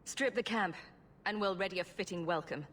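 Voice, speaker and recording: A woman's voice: mid-range voice, fairly quick, fairly bright tone, in a large hall, a little hiss or hum, close to an everyday voice. A woman playing a wry, cool-headed adventurer in video game dialogue.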